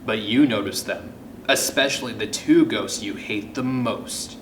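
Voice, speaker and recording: A man reading a children's story aloud as host, with suspenseful pauses and hushed drops in his delivery. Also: on the low side. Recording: roomy echo, faint background noise.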